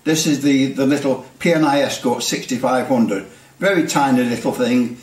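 A man's voice: mid-range voice, normal speed. An older man in his seventies with a British accent, casually explaining technical things to camera and sometimes repeating his words. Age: older, around 70s. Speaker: presenter of a CB radio channel. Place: small indoor workshop with bare brick walls. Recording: roomy echo, distant microphone.

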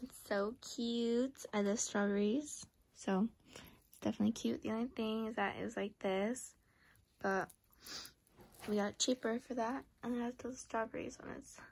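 A young woman chatting through a casual vlog, upbeat and full of filler words. Her voice sits a little high. She speaks into a phone held at arm's length. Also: fairly even intonation, moderate pace, vocal fry, darkish tone. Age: young adult. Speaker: vlogger. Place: outdoor flea market, later indoors at home.

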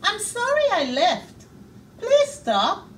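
Storybook bear played by a woman reader: earnest and eager, high, pleading calls, off mic. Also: wildly swooping pitch, slow, a bit bright, far from the mic, slight background noise, heavily acted. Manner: calling out earnestly. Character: eager, pleading male bear from a picture book.

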